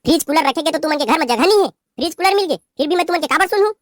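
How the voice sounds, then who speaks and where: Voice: sits high, animated, very fast, fairly bright tone, no room sound, studio quality. A performer voicing a spoiled, haughty young daughter-in-law with a Chhattisgarhi accent, scolding and rude in a cartoon. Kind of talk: cartoon voice acting.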